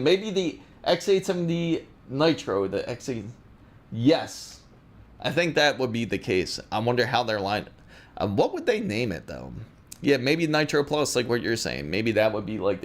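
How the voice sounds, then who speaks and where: A man hosting a casual tech livestream, chatting loosely through viewer questions. His pitch moves around a lot as he talks, and his speech is full of "uh"s and restarts. He sits at a middle pitch for a man. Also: normal speed. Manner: chatty, reads viewer questions aloud, many fillers and restarts; lively pitch. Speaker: tech livestream host answering chat questions. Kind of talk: casual live-stream chat.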